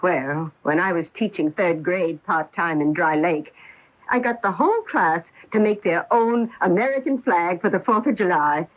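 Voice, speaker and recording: An elderly schoolmarm in an old radio play, brisk and expressive. Her lowish voice swings with lively inflection as she reminisces with complete assurance, on a dull broadcast recording. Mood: nostalgic, brisk. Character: elderly former schoolteacher, brisk, nostalgic and sure of memory. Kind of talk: 1980s radio mystery drama acting.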